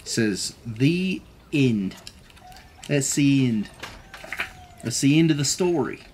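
A man's voice: medium pitch, measured pace, a little mellow, a little hiss or hum. A man in his thirties reading a children's book aloud in a theatrical, jokey manner, with playful asides. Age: 30s. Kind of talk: expressive storybook read-aloud with playful asides. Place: living room, seated on a couch.

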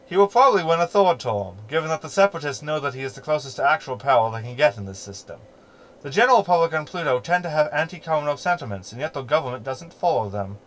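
Man as a snarky, lively-pitched hologram companion. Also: quick pace, low-quality recording. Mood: lecturing. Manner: glib, verbose, sardonic. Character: snarky, meddling male hologram ship companion. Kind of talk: sci-fi sitcom audio drama acting.

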